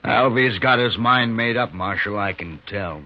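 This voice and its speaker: An American actor playing a cold, bossy outlaw leader in a 1950s radio western, slow, commanding and menacing. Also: male voice, fairly low pitch, bright, forceful tone. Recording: dull, faintly noisy 1950s radio recording.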